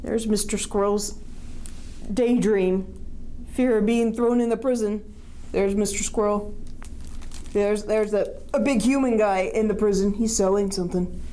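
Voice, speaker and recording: A woman's voice: mid-range voice, fairly even intonation, a little slow, long pauses, dark tone. A woman reading a picture book aloud to young children, expressively, with questions and asides to her listeners.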